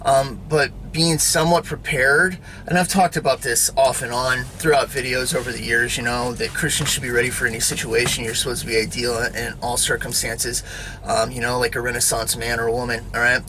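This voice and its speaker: A man's voice: mid-range voice, little pitch movement, a little fast, noisy background. A man giving a casual, rambling spoken sermon, often checking in with "all right?" and "you know".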